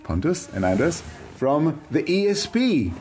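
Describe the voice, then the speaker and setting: A man interviewing podcast guests, measured and slightly hesitant with frequent ums. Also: on the high side, sing-song, a little mellow. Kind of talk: casual interview. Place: conference venue.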